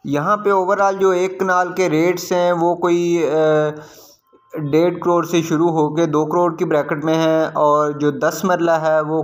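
A man with a Pakistani accent giving informal, explanatory commentary on a property site visit as a real estate video host. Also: fairly high pitch.

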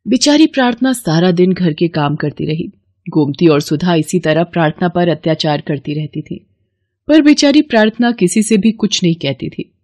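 A woman's voice: lowish voice, sing-song, normal speed, a little mellow. A woman reading scripted narration for a moral story as the storyteller.

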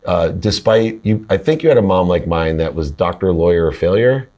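A man's voice: low-pitched, lively intonation, moderate pace, a little mellow. A middle-aged man hosting an interview in an office conference room, asking questions with enthusiasm and frequent laughter. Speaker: host, interviewer. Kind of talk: interview conversation.

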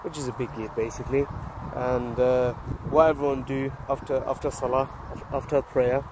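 A slightly hoarse man in his thirties, vlogging casually on a phone in an open park, talking haltingly with many fillers and restarts. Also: medium pitch, little pitch movement. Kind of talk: casual selfie vlog. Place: open grassy park outdoors. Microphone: phone held at arm's length. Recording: outdoor phone audio with wind and traffic noise.

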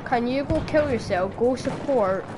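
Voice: mid-range voice, lively intonation, moderate pace, noisy background. Young gamer with a sore throat. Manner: chatty, exclaims at game events. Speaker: gamer streaming gameplay. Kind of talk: casual gameplay chat. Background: game gunfire and ambience.

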